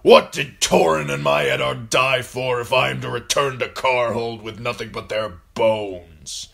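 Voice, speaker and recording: A man voicing a grim, bereaved, unyielding lord in a fantasy audiobook, forceful and bitter. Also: medium pitch, steady tone, measured pace, creaky, voice pushed up. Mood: bitter protest. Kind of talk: audiobook fantasy character acting.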